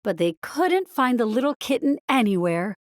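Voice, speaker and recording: A woman reads a children's storybook in a high voice with lively, swinging intonation. Her delivery is expressive and carefully spoken, and the recording is dry and close. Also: normal speed, dry recording. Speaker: storybook narrator. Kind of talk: scripted children's story narration.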